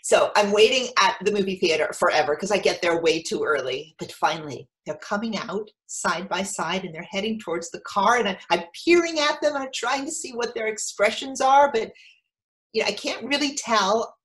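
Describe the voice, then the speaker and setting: A woman playing an anxious, overeager mother of a teenager in an animated theatrical monologue, breaking into quoted dialogue. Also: mid-range voice, moderate pace, close to an everyday voice, rough recording. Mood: hopeful, nervous. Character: anxious, overeager mother of a teenage son, telling her story. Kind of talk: theatrical monologue, staged reading.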